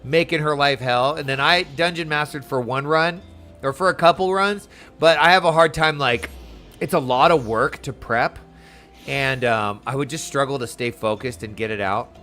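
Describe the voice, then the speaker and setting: Male game streamer, mid-pitched, casual and enthusiastic, slangy delivery over background music. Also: moderate pace, sharp tone. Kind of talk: casual chat while playing a game. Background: video game music.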